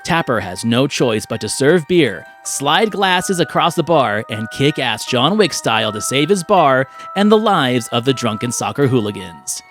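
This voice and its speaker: A man hosting a podcast, chatting casually about films and pitching ideas with animated, vivid storytelling. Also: a little slow, few pauses, soft background music. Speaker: podcast host. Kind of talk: casual film chat and movie pitching.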